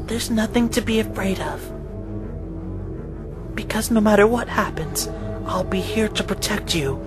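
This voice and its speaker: Protective young boy, voiced by a woman: soft, breathy, slow, expressive, for visual novel drama over music. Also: mid-range voice. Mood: soothing. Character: gentle, protective young boy comforting a girl. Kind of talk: visual novel fan dub acting.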